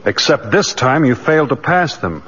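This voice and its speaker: A man's voice: medium pitch, big pitch swings, moderate pace, a bit bright. A man playing an earnest, loyal lawyer in a 1940s radio drama, on a muffled old recording. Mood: earnest, concerned. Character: earnest, resourceful male lawyer, loyal friend.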